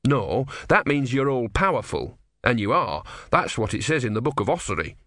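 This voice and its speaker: A man's voice: mid-range voice, very animated, moderate pace, sharp tone, no echo, clean studio sound. A man voicing an earnest, devout young believer who is insistent and easily outraged, in a storybook reading. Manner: insistent, indignant, pious.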